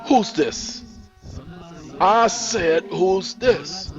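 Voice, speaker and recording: A man playing a violent, threatening gang boss who bullies and shouts in an acted drama. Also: sits high, very animated, measured pace, dull audio, soft background music, pitched above the performer's own voice, heavily acted. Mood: furious. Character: violent, menacing male gang boss, quick to rage.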